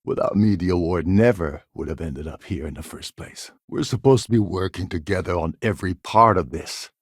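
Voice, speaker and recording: A man playing a roguish, wry starship captain and ex-pirate in a video game, a sardonic schemer with a gravelly, husky voice. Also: low voice, normal speed, no echo, studio quality. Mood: peeved, sardonic. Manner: sardonic, smooth-talking schemer. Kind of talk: video game character dialogue.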